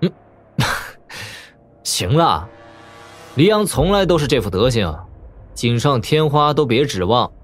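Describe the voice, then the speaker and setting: A young princely commander in a martial-arts audio drama. He sounds composed, shrewd and used to giving orders, in a mid-pitched voice. Faint music plays behind him. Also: big pitch swings, slow, long pauses. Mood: wry, resigned. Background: background music.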